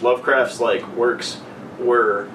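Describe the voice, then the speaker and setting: A man's voice: medium pitch, fairly even intonation, takes it slowly, far from the mic. Slangy man bantering in an echoey room. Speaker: podcast participant. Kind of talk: casual banter. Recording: noisy, echoey, away from mic.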